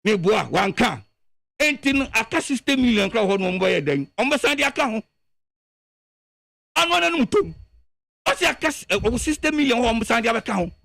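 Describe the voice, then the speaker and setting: A Ghanaian man with a strikingly high, gravelly voice gives animated political commentary on a radio show. His pitch leaps around as he presses his points, repeating words for emphasis. The sound is close and dry. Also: brisk, pauses often, no room sound.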